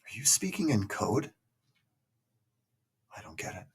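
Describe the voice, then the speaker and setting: A man co-hosting a casual chat, joking and throwing in quick questions and asides. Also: lowish voice, sing-song. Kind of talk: casual chat among friends.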